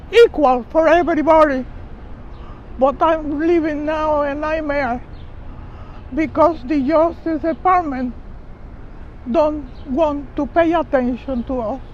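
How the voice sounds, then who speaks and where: Older woman, Cuban accent, press conference speaker. Slow, deliberate delivery on a dull outdoor recording. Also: very high voice, slight background noise. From Cuba. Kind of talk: public testimony speech.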